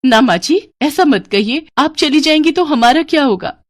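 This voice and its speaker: A woman's voice: sits high, expressive, normal speed, dry recording, pitched above the performer's own voice. Woman playing devoted young wife, soothing, respectful, earnest, storybook drama, dry close sound. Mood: pleading, devoted. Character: devoted, gentle young wife and daughter-in-law. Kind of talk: dramatised Hindi moral story.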